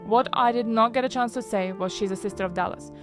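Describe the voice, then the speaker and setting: A woman's voice: fairly high pitch, fast, music in the background, natural voice. A woman playing a polite, modest warplane in an audio drama, formal and careful in diction. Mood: assured.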